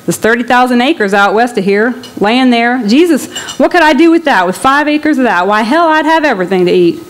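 A woman's voice: on the high side, lively intonation, brisk, pitched above the performer's own voice, lightly acted. A woman voicing a desperate, hungry male migrant farmer in a literary reading, with folksy, pleading, impassioned rural speech. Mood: yearning. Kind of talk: storybook character in a literary reading.

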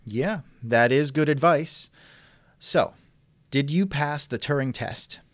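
A man's voice: on the high side, takes it slowly, no echo, clean studio sound. A man hosting a conversational interview, asking questions in a wry, skeptical manner. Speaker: interviewer, host. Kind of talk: reenacted text-chat interview, conversational.

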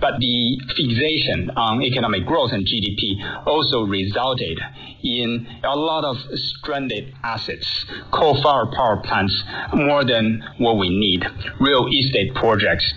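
A man explaining policy in a measured way as an expert interview guest. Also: medium pitch, sharp tone, slightly rough. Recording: muffled shortwave radio capture.

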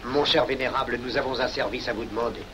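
An actor playing a discreet, scheming freemason in a 1940s film, speaking formally and with measured courtesy. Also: male voice, medium pitch, fairly even intonation, close to an everyday voice. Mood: confidential. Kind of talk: 1940s film acting, conversational. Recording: noisy old 1940s film soundtrack.

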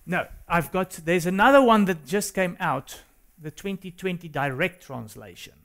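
South African man, earnest and halting. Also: high-pitched, animated, fairly bright tone. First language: Afrikaans. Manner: earnest, hesitant with restarts. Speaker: co-host. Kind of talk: studio discussion.

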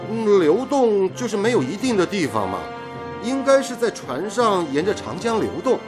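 Jovial boyish young man, animated. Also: sits high, normal speed, music in the background. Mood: cheerful, earnest. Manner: playful, exaggerating, fond of banter. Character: jovial, boyish young male radio station worker, teasing. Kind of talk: radio drama acting.

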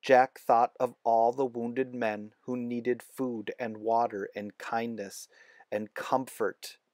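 A man's voice: medium pitch, level tone, slow pace, darkish tone, no echo. A man narrating a children's book as a read-aloud. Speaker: storybook reader.

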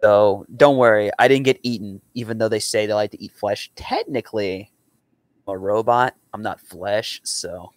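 A man's voice: lowish voice, normal speed, dry recording. A young man chatting casually and earnestly in a rambling reaction at home. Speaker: reactor and amateur voice actor. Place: small room at home.

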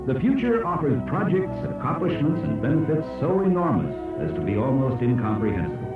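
A man reading scripted educational narration in an emphatic, oratorical way with dramatic pauses. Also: a little high, sing-song, distant mic. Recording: echoey, dull old cassette played aloud, music. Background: music.

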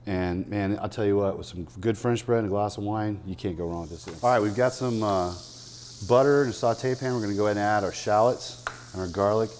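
A man in his fifties hosting a cooking demonstration, talking in a relaxed, chatty way with many fillers, in a restaurant dining room. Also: fairly low pitch, a little fast, slight background noise. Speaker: chef hosting a cooking segment.

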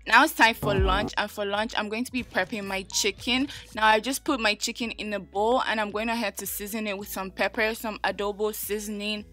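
A woman's voice: mid-range voice, fairly even intonation, fairly quick, hardly any pauses, pushed and bright, soft background music. A young woman talking through a cooking vlog in her home kitchen, chatty and sassy with playful asides. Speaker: lifestyle vlogger. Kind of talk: casual cooking vlog with voice-over.

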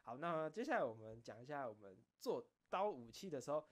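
A man talks casually, like a streamer alone with his audience. His pitch moves up and down a lot, giving the talk an animated feel. He rambles along in a self-deprecating manner at a middling pitch. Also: a little fast. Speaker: streamer and video creator. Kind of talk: casual solo chat, recounting behind-the-scenes stories.

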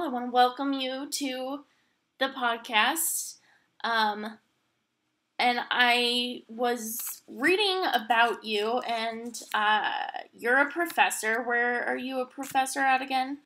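Podcast host: young American woman, casual delivery, slow pacing, slightly high pitch. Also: a bit bright, faint music behind. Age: young adult.

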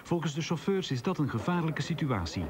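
A man's voice: on the high side, sing-song, a little fast, noisy background. A man with a Flemish accent reading a scripted news report.

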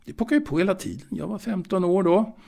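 An elderly man, played by a male actor, looks back wistfully on his boyhood in an audio drama monologue. His voice sits a little high and crackles with gravelly fry. He muses haltingly, hesitating and repeating words as he remembers. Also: animated, a little mellow, full character voice. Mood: nostalgic, confiding. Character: old man wistfully reminiscing about his 1920s Stockholm boyhood.

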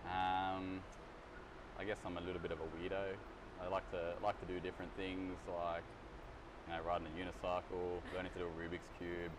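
A young man with a deep, low voice talks quickly and loosely, full of ums and restarts as he answers questions. He sits in a big gym studio, picked up from across the room, with a clear noisy hiss underneath. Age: 20s. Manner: relaxed, rambling with many fillers and restarts. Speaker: exercise physiologist. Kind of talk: casual interview answers. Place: large gym studio, indoors.

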